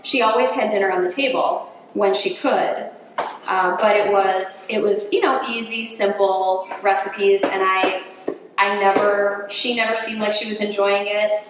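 A woman's voice: mid-range voice, fairly even intonation, measured pace. A woman in her thirties talking candidly and self-deprecatingly about learning to cook, interviewed in her home kitchen on a distant camera mic. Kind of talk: casual interview while cooking. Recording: roomy kitchen sound with faint background hum.

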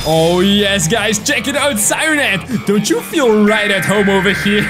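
Very high-pitched, exaggerated young male gamer. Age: 20s. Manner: exaggerated, exclamatory, playful. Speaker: gaming video host. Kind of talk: excited gameplay commentary.

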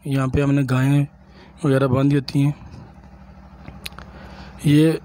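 A man, a village vlogger from Punjab, calmly explaining sights to viewers while he walks outdoors and films on his phone. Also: medium pitch, slow pace, a little hiss or hum. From Punjab, Pakistan. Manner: calm, explanatory, addresses viewers directly. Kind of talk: walking tour narration.

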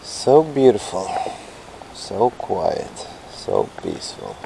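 Slow, low-voiced man outdoors. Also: huge pitch swings, creaky. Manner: unhurried, short remarks with repeated words. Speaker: angling vlogger. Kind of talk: casual first-person fishing commentary. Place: outdoors in a kayak on a river. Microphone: body-worn action camera. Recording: noisy outdoor action camera, water and boat sounds.

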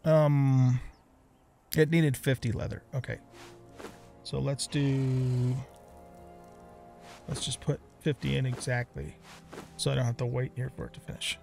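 A man's voice: medium pitch, level tone, a little slow, creaky, pauses often, a little mellow, soft background music. A middle-aged man giving casual let's play commentary on a video game, thinking aloud and muttering to himself.

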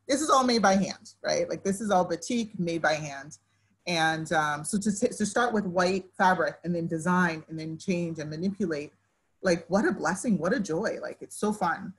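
A woman's voice: sits low, breathy edge. A woman in her thirties who grew up in Nigeria, a fashion designer speaking on a video call from her living room, talking with her hands and full of restarts. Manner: animated, talks with her hands, many likes and restarts. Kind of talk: casual panel conversation. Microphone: laptop webcam on video call.

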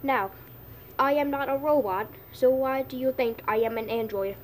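A woman's voice: sits high, moderate pace, slight background noise, lightly acted. A woman voicing an easily offended robotic dog in an amateur cartoon skit, with stilted, machine-like announcements. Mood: indignant, stilted. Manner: stilted robotic announcements among plain talk.

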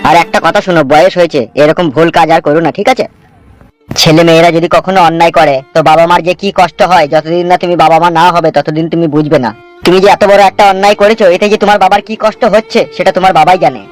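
Guy putting on blustery village man cartoon voice, talks crazy fast and high, faint music behind. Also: steady tone, close to an everyday voice. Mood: admonishing. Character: brash, fast-talking village men of a comedy cartoon. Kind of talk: comedy cartoon dubbing.

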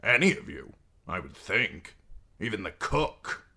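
A man's voice: low-pitched, very animated, unhurried, creaky, pushed and bright, breathy edge, heavily acted. A man voicing a stern, cold middle-aged king with a curt, commanding manner.

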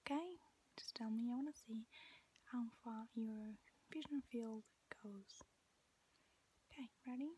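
A young adult woman whispers softly and gently gives instructions in an ASMR medical exam roleplay. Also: on the high side, measured pace, long pauses, a little hiss or hum. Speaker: ASMR performer playing a medical examiner. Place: small home bedroom, indoors.

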